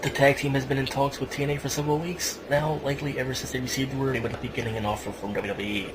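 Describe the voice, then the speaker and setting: A man hosting casually, reading news and explaining it in a halting way. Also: mid-range voice, flat delivery. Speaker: podcast host. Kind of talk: casual wrestling news commentary. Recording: noisy home podcast recording.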